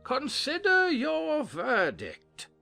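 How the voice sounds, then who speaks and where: A man's voice: very high voice, wildly swooping pitch, drawn-out words, vocal fry, sharp tone, no room sound, soft background music, full character voice. A man playing a pompous, testy, dim-witted king who commands a court in an audio drama. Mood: imperious.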